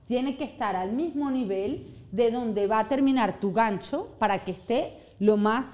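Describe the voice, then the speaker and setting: Woman orthodontist lecturing live, animated, keeps asking "ok?", small room sound. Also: a little high, measured pace. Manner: animated, gestures a lot, checks in with "¿ok?". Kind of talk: live seminar presentation. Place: small seminar room with audience.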